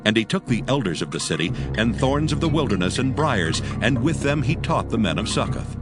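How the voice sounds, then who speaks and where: A man's voice: mid-range voice, big pitch swings, fairly quick. A man narrating a dramatized scripture story in a solemn, archaic storytelling style. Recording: music behind the voice. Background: clear background music.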